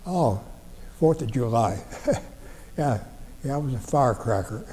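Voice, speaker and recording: An elderly man from Ohio, in his nineties, recalls old times in plain, halting speech full of restarts. His voice is dark and mellow. It rings with a long reverb in a library room, and a faint noise sits underneath. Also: medium pitch, animated. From Ohio, USA. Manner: halting, plain-spoken, frequent restarts and fillers. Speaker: veteran interviewee. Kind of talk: oral history recollection.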